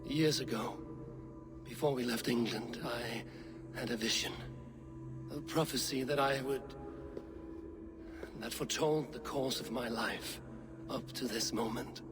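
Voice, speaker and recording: An actor playing a stubborn Viking warrior who speaks solemnly and haltingly, with music behind. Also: male voice, mid-range voice, natural voice. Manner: solemn, halting when unsure. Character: Viking warrior man, stubborn but quiet.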